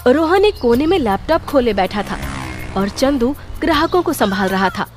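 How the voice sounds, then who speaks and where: Female narrator for a cartoon story: high voice, scripted storytelling, music behind. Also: sing-song, normal speed. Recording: music under the voice.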